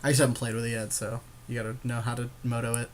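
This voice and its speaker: Male gaming co-host, medium pitch, loose conversational commentary on card plays, slangy. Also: level tone, rapid-fire, a little hiss or hum. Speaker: podcast co-host playing a card game. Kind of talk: casual game commentary chat.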